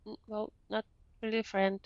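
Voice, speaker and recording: A woman's voice: medium pitch, little pitch movement, moderate pace, no room sound, highs cut off. A woman streaming a video game with casual live reactions, dropping sympathetic interjections and repeating her phrases.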